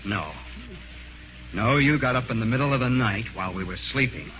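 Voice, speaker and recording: An actor playing a cool, cunning man avenging his sister, deliberate and commanding, in old-time radio mystery style. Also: mid-range voice, faint music behind, natural voice. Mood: calm, accusing. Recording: noisy, dull vintage radio recording.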